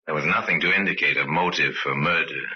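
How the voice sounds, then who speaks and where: A man narrating an old-time radio crime mystery in a grave, measured, dramatic storytelling manner. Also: extremely low pitch, breathy edge, exaggerated voice. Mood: grave, intriguing. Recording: dull old radio recording.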